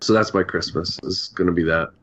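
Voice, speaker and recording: Lowish-voiced man chatting, slightly dull audio. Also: steady tone, fairly quick, fairly bright tone. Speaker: podcast co-host. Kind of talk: casual chat.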